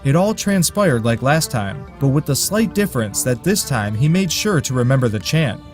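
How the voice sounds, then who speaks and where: A man's voice: medium pitch, big pitch swings, normal speed, soft background music. A man narrating a folk tale in a dry, wry way, with asides to his listeners. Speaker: storyteller.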